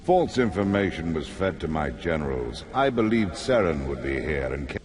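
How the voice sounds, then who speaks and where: Deep, echoing, haughty god. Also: male voice, very animated, normal speed, darkish tone, faint music behind. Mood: stern, haughty. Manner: imperious, formal. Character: righteous, haughty male god, protective and stern. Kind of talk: video game cutscene acting.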